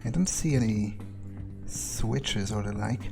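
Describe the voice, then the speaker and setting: A man's voice: on the low side, slow, creaky. Man musing aloud over game music. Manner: musing to himself, casual, swears freely. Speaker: gamer playing in character as a vampire. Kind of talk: in-character video game playthrough, thinking aloud. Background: music behind the voice.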